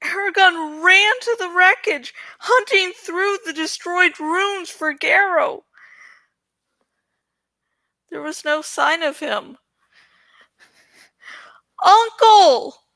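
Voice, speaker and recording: A woman with a very high, bright voice hosts a reading of a novel. She takes her time, going between dramatic narration and casual chat, and the recording is dry and close. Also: slow, no room sound. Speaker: host reading a novel aloud. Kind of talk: dramatic audiobook-style reading with casual asides.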